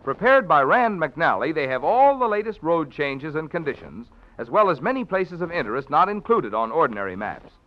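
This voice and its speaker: A man's voice: a little high, sing-song, moderate pace, hardly any pauses. An American man announcing and reading a sponsor message in polished, enthusiastic 1940s radio style. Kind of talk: 1940s radio advertising read. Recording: dull vintage radio broadcast.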